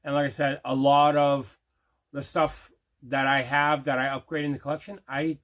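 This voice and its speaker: A man's voice: mid-range voice, level tone, moderate pace, fairly bright tone. A man hosting a collector's video channel, chatting casually through an unboxing with emphatic, repeated phrases. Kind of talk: casual unboxing vlog chat.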